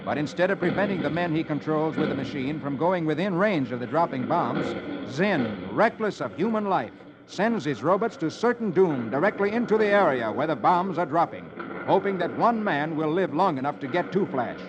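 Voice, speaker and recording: An actor playing a jolly comics-reading friend to children, in a theatrical 1950s radio storytelling style. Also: male voice, on the high side, normal speed, crowd noise, natural voice. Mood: dramatic storytelling. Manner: jolly, animated. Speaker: radio host and comics reader. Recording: lo-fi old radio, loud music behind.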